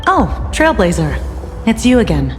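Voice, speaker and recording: A woman playing a composed sci-fi game character who explains things in a measured, formal way. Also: mid-range voice, very animated. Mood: calm, informative. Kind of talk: video game voice acting. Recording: reverberant hall, music behind.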